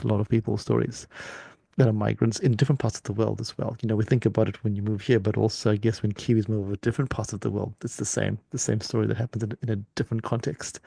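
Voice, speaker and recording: A man from Zimbabwe hosts a conversational podcast, talking quickly in a low, gravelly, mellow voice. He responds warmly to his guest and often repeats words as he works through his thoughts. The sound is dry and close. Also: no room sound. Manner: warm, affirming, repeats words as he thinks. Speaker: podcast host. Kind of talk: conversational interview.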